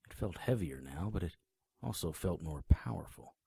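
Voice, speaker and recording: A man's voice: low voice, expressive, moderate pace, creaky, mellow, husky, dry recording, full character voice. An actor playing a tough, righteous male private detective in an audio drama, confrontational and sardonic. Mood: somber narration. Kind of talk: hardboiled audio drama acting.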